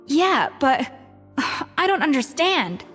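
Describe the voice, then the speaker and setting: A woman playing a proud, short-tempered young chef in an anime game, with a sharp-tongued manner. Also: high voice, very animated, takes it slowly, long reverb tail, faint music behind, full character voice. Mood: thoughtful.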